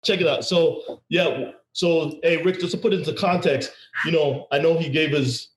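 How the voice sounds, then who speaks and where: Lively host man in a roomy room. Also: a little high, normal speed. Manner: energetic storytelling with laughs and repeats. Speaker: podcast host. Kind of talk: casual interview chat. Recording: roomy echo.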